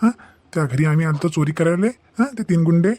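A man's voice: a little high, a little fast, mellow, no echo, lightly acted. A man voicing a menacing, bullying cartoon kidnapper. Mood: taunting. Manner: bullying, taunting, threatening. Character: menacing village gangster kidnapper demanding ransom by phone. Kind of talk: Marathi cartoon comedy dialogue.

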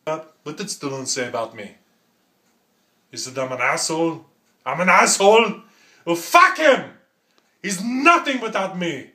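A man's voice: a little high, expressive, measured pace, pauses often, bright, forceful tone. A man impersonating a boastful Austrian action-star politician, rambling emphatically in a thick accent. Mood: indignant. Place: small room at home. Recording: roomy home recording.

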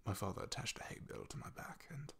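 A man playing a loyal, shy, soft-spoken knight in an ASMR fantasy roleplay, in a hushed, breathy, gravelly voice with a hesitant, halting manner. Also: close to an everyday voice. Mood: wistful, reflective.

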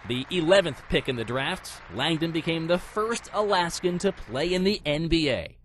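A man's voice: a little high, animated, moderate pace, very noisy. A man, a TV sports reporter, reading a scripted news feature in a punchy, polished style.

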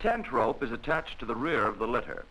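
A man narrating a 1940s military training film in a measured, instructional way, on an old film soundtrack. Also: medium pitch, big pitch swings, bright, forceful tone, slightly rough, low-quality recording.

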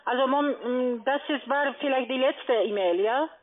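Female call centre agent, halting phrasing, narrow phone-line sound. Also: high-pitched. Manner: halting, non-fluent phrasing. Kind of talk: phone instructions, halting speech.

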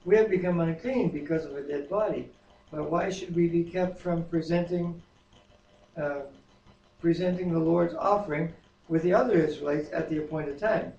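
A man's voice: fairly high pitch, gravelly, a little mellow. An older man with a tenor voice, co-hosting a home Bible reading and singing a hymn at a keyboard. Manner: sings slowly while playing keyboard. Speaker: co-host reading scripture. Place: wood-paneled home dining room, indoors. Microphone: far camera. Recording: roomy, distant, dull home video.

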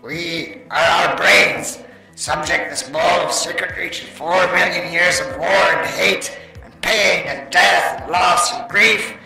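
Man acting out a bright-voiced, earnest robot soldier. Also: sits high, creaky, heavily acted. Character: earnest, battle-worn male robot soldier pleading for understanding. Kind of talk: amateur comic dub acting. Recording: echoey room, faint music behind.

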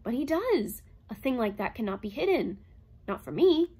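Earnest gentle male robot read by a woman; soft, reassuring, slightly husky, raised pitch. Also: very animated, normal speed. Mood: pleading. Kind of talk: audiobook character reading.

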